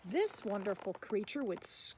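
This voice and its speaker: A woman presenting a children's picture book in an expressive read-aloud style, speaking directly to young listeners. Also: medium pitch, moderate pace. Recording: clear background noise, animal sounds.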